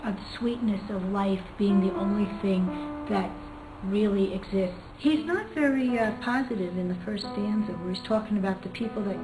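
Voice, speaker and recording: A woman English teacher reading and explaining literature informally, in a thoughtful way. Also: mid-range voice. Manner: thoughtful, hesitant, pauses often to find words. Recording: echoey room with music behind.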